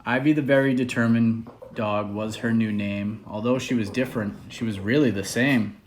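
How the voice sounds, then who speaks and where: A man's voice: lowish voice, fairly even intonation, moderate pace, a little hiss or hum. Man reading a children's story aloud. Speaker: author reading his picture book.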